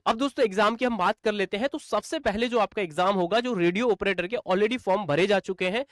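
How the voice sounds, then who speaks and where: A man's voice: sits high, a little fast, dry recording, studio quality. A man teaching in an explainer video, repeatedly asking and answering his own questions and calling viewers friends. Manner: repetitive, asks and answers own rhetorical questions; addresses viewers as friends and brother. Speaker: exam coaching teacher.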